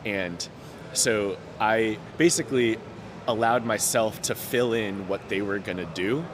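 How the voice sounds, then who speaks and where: A young man with a fairly low voice who stutters, repeating sounds and breaking off words as he talks openly and earnestly about his own experience. He is recorded outdoors, with clear city noise and passing traffic behind him. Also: measured pace. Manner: earnest, open, many fillers. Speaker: interviewee. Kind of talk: reflective personal story. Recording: noticeable outdoor background noise.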